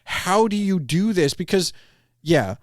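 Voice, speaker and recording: Guy on a podcast, bit higher voice, animated storyteller doing little quotes, really dry sound. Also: measured pace, no room sound. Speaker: podcast host. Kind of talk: casual podcast conversation.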